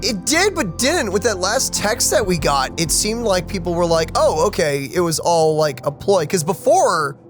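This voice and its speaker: Animated, casual let's play host. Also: mid-range voice, moderate pace, few pauses. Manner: animated, informal, thinking aloud. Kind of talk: casual gameplay commentary and chat. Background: faint game music.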